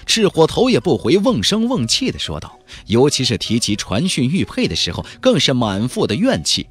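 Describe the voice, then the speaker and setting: Male audiobook narrator, very animated delivery with a husky edge, faint music underneath. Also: medium pitch, normal speed. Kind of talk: dramatic scripted narration of a fantasy novel.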